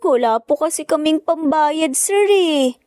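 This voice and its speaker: A woman's voice: high-pitched, expressive, a little slow, a little mellow, dry recording, faint music behind, pitched above the performer's own voice. A woman voicing a polite, earnest young boy who pleads respectfully in a narrated family drama. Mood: worried pleading. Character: polite, pleading young boys, worried for their mother.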